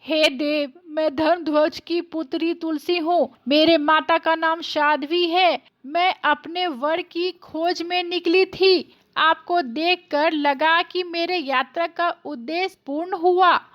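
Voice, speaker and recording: A woman's voice: extremely high pitch, steady tone, slow, hardly any pauses, lo-fi sound. A woman voicing a proud young goddess in a mythological story, with formal, declaring speech. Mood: smitten, earnest.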